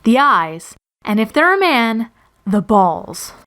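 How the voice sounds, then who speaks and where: A blunt gym teacher played by a woman gives self-defense instruction in a cartoon story. The delivery is emphatic and mock-grandiose, in a fairly high voice. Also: big pitch swings, slow pace, a bit bright, heavily acted.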